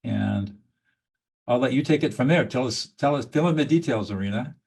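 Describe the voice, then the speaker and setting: A man's voice: mid-range voice, animated, normal speed, creaky, a little mellow, husky. A man hosting a podcast interview, introducing his guest effusively. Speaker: podcast host.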